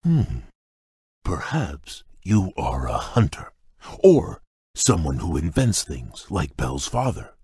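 An actor playing a large, shy, polite beast prince in a video game, speaking in a courteous, formal, earnest way with a husky voice. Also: male voice, very animated, takes it slowly, dark tone, no room sound, studio quality, heavily acted. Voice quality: deep husky voice. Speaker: game character. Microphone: dry close studio mic.

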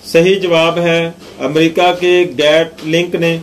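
A man narrating scripted quiz questions and answers in a measured, deliberate reading. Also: on the high side, level tone, slight background noise.

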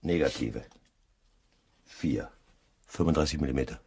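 A male police investigator in a crime radio play. His voice is extremely low, creaky and rough. He questions people formally and deliberately, always probing. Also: a little mellow, heavily acted. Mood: matter-of-fact. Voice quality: gravelly.

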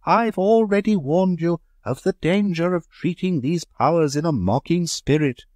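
A man's voice: on the high side, normal speed, a little mellow, dry recording, pitched above the performer's own voice, natural voice. A man reading a story aloud, voicing a pompous young man who speaks solemnly of hidden powers. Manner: solemn, then faltering. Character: solemn, nervous young would-be mystic, man.

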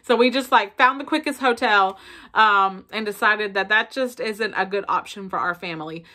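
Chatty Southern woman with a bright voice. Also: mid-range voice, moderate pace. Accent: Southern American. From South Carolina. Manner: chatty, expressive, lots of fillers. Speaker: family vlogger. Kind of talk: casual chat to camera. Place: bedroom at home, indoors. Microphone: camera in front at close range.

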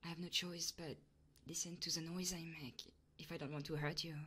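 A woman live streamer chatting casually and playfully in a soft, breathy ASMR style, often whispering, close to the microphone. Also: on the low side, flat delivery, a little fast. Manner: casual and playful, swearing freely.

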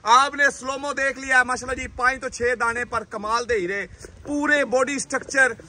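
Punjabi-accented young male farm vlogger, high bright voice, salesman patter, outdoor shed on a handheld phone. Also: fairly even intonation, moderate pace. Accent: Pakistani Punjabi. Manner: chatty salesman patter, repeating stock phrases. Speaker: vlogger showing dairy cattle. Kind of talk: lively livestock sales tour.

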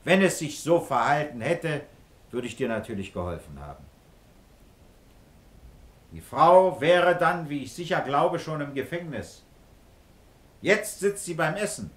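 A man's voice: a little high, big pitch swings, unhurried, pauses often. Fatherly police commissioner, middle-aged, patient, persuasive, very varied intonation, roomy echo, radio crime play. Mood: calm persuasion. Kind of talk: German radio crime drama acting.

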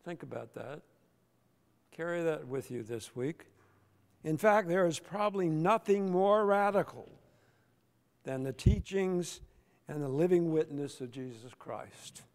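An older man, a pastor, preaching a sermon from the pulpit with slow, emphatic delivery and deliberate pauses. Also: fairly high pitch, gravelly, a little mellow. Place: large church sanctuary, at the pulpit. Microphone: pulpit microphone. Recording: long church reverb.

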